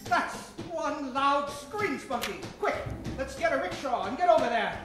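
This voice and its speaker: A woman's voice: high voice, expressive, measured pace. An actress playing a knowing, decisive lady professor in an old-time radio adventure. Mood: brisk. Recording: echoey stage, music behind.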